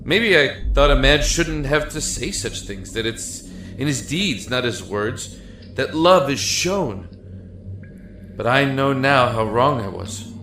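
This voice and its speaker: Man acting as a slow, despairing prisoner in echo. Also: mid-range voice, sing-song, bright, forceful tone, heavily acted. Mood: reflective regret. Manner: halting, reflective, emotive delivery. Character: despairing, regretful man imprisoned in a dark cell. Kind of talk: dramatic audio monologue acting. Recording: echoey, with music underneath.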